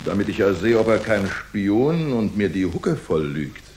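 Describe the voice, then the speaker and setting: An actor in a German radio drama playing a pompous, suspicious commandant who questions in a haughty, probing way. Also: male voice, lowish voice, very animated, measured pace, darkish tone, slight background noise. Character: suspicious, pompous military commandant interrogating a soldier.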